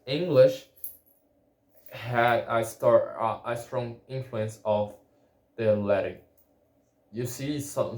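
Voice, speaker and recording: A man whose first language is Portuguese, talking casually in a solo vlog about learning a language, halting and restarting often. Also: medium pitch, darkish tone. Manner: halting, many restarts and fillers. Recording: echoey room.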